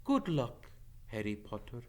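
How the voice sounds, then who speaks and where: A man voicing a noble, grave centaur with measured, formal, earnest speech in a storybook reading. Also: mid-range voice, wildly swooping pitch, pitched above the performer's own voice. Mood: gentle, grave. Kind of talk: dramatic audiobook character reading.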